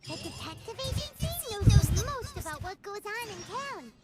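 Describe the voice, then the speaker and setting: Brief: tiny excitable game sprite, very high and bouncy, over loud music. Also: female voice, moderate pace. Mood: chirpy. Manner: squeaky, excitable, blurting exclamations. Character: tiny excitable female floating companion sprite. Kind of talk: video game dialogue.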